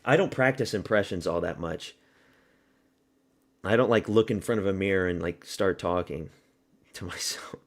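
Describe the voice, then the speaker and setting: Southern-raised man, low-ish voice, casual profane stream host chatting with chat. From American South. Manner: loose and profane, chatting with viewers. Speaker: streamer hosting a watch party. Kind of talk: casual live-stream commentary.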